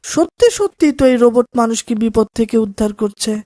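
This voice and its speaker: Woman as an eager high-pitched cartoon boy. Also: animated, brisk, mellow, no echo, studio quality. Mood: admiring, curious. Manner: earnest, questioning. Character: eager, curious boy, friend of the hero. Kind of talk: children's cartoon voice acting.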